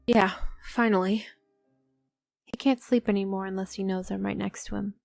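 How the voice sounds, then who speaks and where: A woman's voice: medium pitch, fairly even intonation, normal speed, vocal fry, darkish tone, faint music behind, natural voice. A woman playing a tired, tender wife and mother in a dubbed comic drama. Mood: hushed, regretful.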